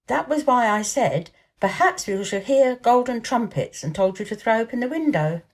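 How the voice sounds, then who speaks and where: A woman's voice: a little high, animated, normal speed, a little mellow. A woman voicing a lordly young invalid boy in a storybook, self-important and precise, with a dreamy streak. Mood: dreamy, excited.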